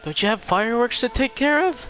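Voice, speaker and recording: A male game streamer with a lively, mid-pitched voice. He gives casual, chatty commentary and talks back to the game, over faint music. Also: brisk. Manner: chatty, reacts aloud to the characters.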